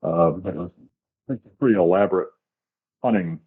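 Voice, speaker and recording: Deep-voiced American man on a tinny call. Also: unhurried, breathy edge. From southern United States, grew up in Florida. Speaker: interview guest. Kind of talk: casual first-hand storytelling. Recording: tinny, band-limited remote call.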